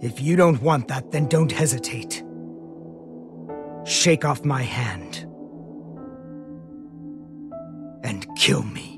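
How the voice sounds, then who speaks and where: An actor playing a solemn, soft-spoken mage burdened by regret, with formal, measured speech in an animated game cutscene. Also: male voice, mid-range voice, natural voice. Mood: regretful, determined. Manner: formal, measured, articulate wording. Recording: dramatic music under the voice.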